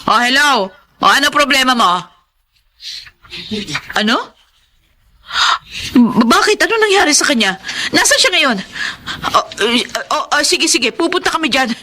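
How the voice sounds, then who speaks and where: Radio drama role: impatient, suspicious Filipino wife, piercing bright tone, bossy, slight noise behind. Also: female voice, high-pitched, very animated, measured pace, pauses often, heavily acted. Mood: demanding, alarmed.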